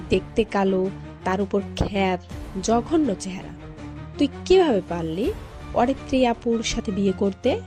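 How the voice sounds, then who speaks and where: Casting: a narrator as sneering young female cousin, mid-pitched, soft dark tone, snobbish, audio drama over music. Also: close to an everyday voice. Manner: taunting, mocking. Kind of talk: Bengali audio story drama.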